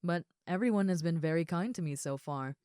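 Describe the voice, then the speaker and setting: Woman acting as huge friendly female ogre, lowish voice, casual and chatty, gentle, close dry sound, audio roleplay. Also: a little fast, clean tone, no echo, lightly acted. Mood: warm, reassuring. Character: huge muscular red female ogre roommate, friendly and easygoing.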